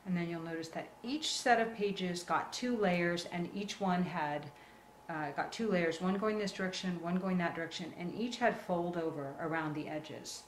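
A woman's voice: on the low side, normal speed. A woman giving casual, reassuring step-by-step instruction as the host of a live craft tutorial. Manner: reassuring, encouraging. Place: indoor work table. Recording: roomy echo, clear background noise.

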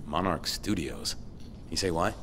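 A man's voice: sits low, animated, measured pace, fairly bright tone, a little hiss or hum, exaggerated voice. An actor playing a laid-back, wry male survivor in a video game, speaking with some hesitation.

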